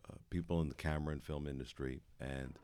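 Really deep voiced guy in the crowd asking a question, lots of ums and uhs. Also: fairly even intonation, a little mellow, smooth. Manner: halting, with many fillers. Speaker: audience member asking a question. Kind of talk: question from the floor at a panel.

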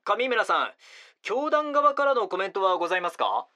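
A brisk TV news anchor with a bright, rather high voice. He questions formally and politely in an audio drama broadcast scene. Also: very animated, heavily acted. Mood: businesslike.

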